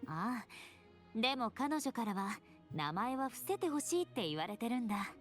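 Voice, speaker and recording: A woman's voice: fairly high pitch, very animated, fast talker. A woman voicing a composed, thoughtful young female companion in a video game. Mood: friendly, informative. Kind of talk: Japanese video game voice acting. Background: game music.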